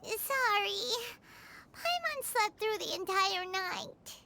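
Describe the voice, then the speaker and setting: A woman voicing a tiny, eager, childlike fairy companion in a video game, squeaky and speaking of herself in the third person. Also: lively intonation, takes it slowly, pushed and bright, a little hiss or hum, exaggerated voice. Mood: apologetic. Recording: game music behind the voice.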